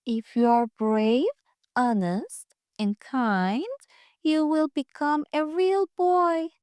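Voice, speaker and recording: A woman voicing a kind magical fairy from a children's storybook, gentle, solemn and encouraging. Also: high-pitched, takes it slowly, no echo.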